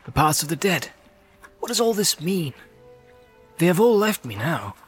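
A man's voice: a little high, sing-song, moderate pace, faint music behind, voice pushed up. A man voicing a small, lonely hobbit who mutters anxiously to himself.

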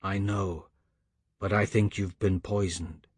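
A man voicing an older man in authority for an audiobook, soft and measured, accusing with cold menace. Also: low voice, fairly even intonation, husky, dry recording, clean studio sound, voice pushed down, full character voice. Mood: regretful, menacing. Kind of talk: audiobook character dialogue.

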